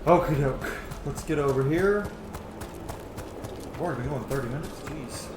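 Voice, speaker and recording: A man's voice: medium pitch, moderate pace. A man giving casual, reactive, sweary commentary while playing a video game. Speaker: gaming let's-player. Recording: echoey, noisy room with game sounds.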